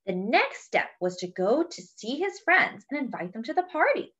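A woman reading a children's picture book aloud, expressive and chatting with the young listener between pages. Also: high voice, moderate pace, muffled recording, noisy background. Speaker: children's story reader. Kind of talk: read-aloud storytelling.

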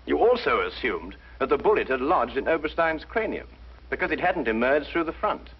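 An actor playing a brilliant, haughty English gentleman detective, precise and pompous, in the manner of 1950s television drama. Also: medium pitch, very animated, fairly quick, slight background noise. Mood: lecturing. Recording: dull 1950s broadcast soundtrack, faint music.

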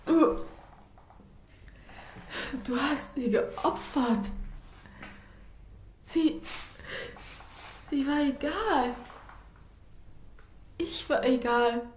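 An actress playing a grieving, betrayed young daughter in an audio drama, crying and speaking in halting, broken phrases. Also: female voice, sits high. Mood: tearful, despairing. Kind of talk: German audio drama acting. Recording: echoey room, faint noise.

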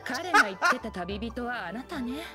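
A woman's voice: on the high side, big pitch swings, moderate pace, over loud music. A woman playing a composed, courteous innkeeper in a game, with polite feminine wording. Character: composed, courteous adult female inn proprietor. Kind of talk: Japanese game dub acting.

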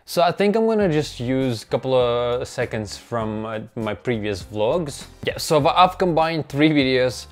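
A man's voice: medium pitch, animated, unhurried, hardly any pauses, faint music behind. A young man with a Russian accent, a native Russian speaker, narrating his own vlog in a casual, upbeat and self-mocking way, recorded on a lapel mic in a small bedroom. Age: young adult. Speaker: vlogger. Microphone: clip-on lapel mic.

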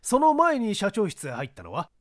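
A man voicing an authoritative prosecutor in a mystery audiobook, questioning witnesses formally and deliberately. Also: high-pitched, wildly swooping pitch, no room sound, studio quality, exaggerated voice. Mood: probing.

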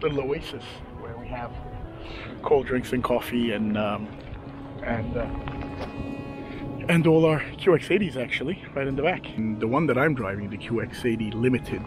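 A man's voice: medium pitch, normal speed. A man in his thirties casually narrating a travel vlog outdoors, speaking to a camera held at arm's length, chatty and hesitant with many fillers. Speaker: travel vlogger. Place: outdoors at desert ruins and rock canyon. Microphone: handheld camera at arm's length. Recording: music playing over it.

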